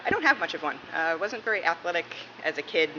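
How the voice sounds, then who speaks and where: A woman's voice: fairly low pitch, big pitch swings, quick pace. A woman from Massachusetts answering questions in a casual interview. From Massachusetts, United States. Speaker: interviewee, police dispatcher. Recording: muffled, clearly noisy room recording.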